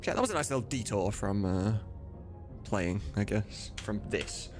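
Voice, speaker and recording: A man's voice: on the low side, expressive, moderate pace. A man streaming as he works on a game mod, talking casually and informally, often muttering to himself. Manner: informal, sweary, muttering to himself while working. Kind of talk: casual livestream work chat. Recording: music playing behind. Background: music, computer keyboard typing.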